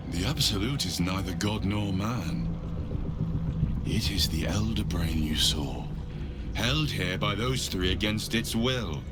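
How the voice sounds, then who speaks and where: A learned guardian from a fantasy game. The voice is deep and set over clear background music. The delivery is solemn and formal, that of a grave mentor urging on a desperate quest. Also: male voice. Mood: grave, intent. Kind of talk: dramatic video game dialogue.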